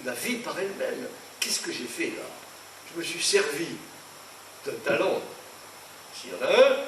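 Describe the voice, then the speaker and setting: Older man, from France, painter lecturing, indignant, digressive, distant mic, echoey hall, noisy. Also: fairly high pitch. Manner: animated, indignant, digressive storyteller. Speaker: painter giving a talk. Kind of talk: rambling lecture. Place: conference hall.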